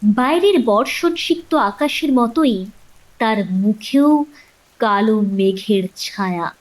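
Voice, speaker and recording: A woman narrator with a high, very animated voice. She reads a scripted suspense story in with dramatic, expressive phrasing. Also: slow pace, low-quality recording.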